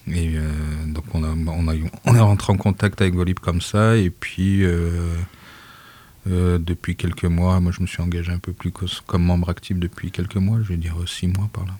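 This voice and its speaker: A man who volunteers with a migrant aid group, speaking softly and haltingly in a casual radio interview, with many fillers. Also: sits low, flat delivery, husky. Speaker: association volunteer.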